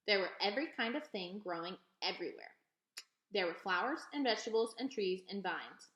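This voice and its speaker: A woman reading a children's novel aloud in an expressive storytelling style. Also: medium pitch, brisk. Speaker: read-aloud reader. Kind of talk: expressive children's book narration. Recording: echoey, roomy sound.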